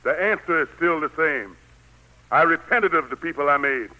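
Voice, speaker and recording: Old radio play god, southern talk, grave n weary, bright hard voice. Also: male voice, a little high, lively intonation, moderate pace, poor audio. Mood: stern. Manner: folksy Southern dialect, grave and authoritative. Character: the Lord, weary stubborn fatherly God running heaven. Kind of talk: 1940s radio drama acting. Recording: old 1940s radio broadcast recording.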